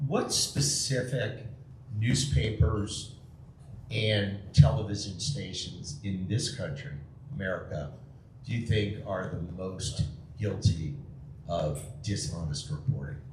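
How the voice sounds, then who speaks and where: A man from Florida asking a deliberate question from the audience. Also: low voice, slight background noise. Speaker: audience member. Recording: echoey hall from far off.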